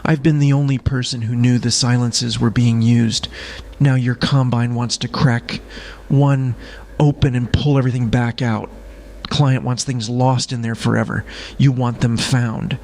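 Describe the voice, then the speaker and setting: A man playing a guarded, weary smuggler in an audio drama, speaking in a grave, deliberate way. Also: mid-range voice, flat delivery, a little mellow, slight background noise, natural voice. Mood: grave confession. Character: guarded, weary male smuggler carrying a dangerous secret.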